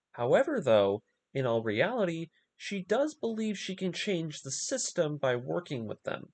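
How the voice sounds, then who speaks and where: A man narrating a scripted story recap as a video essay host, with emphatic, dramatic delivery and pointed pauses. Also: a little high.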